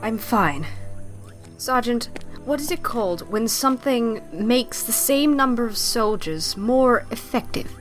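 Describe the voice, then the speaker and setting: A brainy professor in a pulp adventure drama. She speaks precisely and confidently in a fairly high, expressive voice, with music behind. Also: measured pace. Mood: brisk resolve. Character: brainy, self-assured female professor adventurer.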